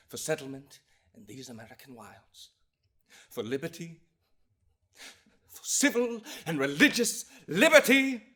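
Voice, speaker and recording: Man acting an impassioned, wide-swinging colonial lawyer. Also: high voice. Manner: theatrical, swinging from hushed to thundering, with long pauses. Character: impassioned young 18th-century lawyer, indignant defender of liberty. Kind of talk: dramatic courtroom oration monologue.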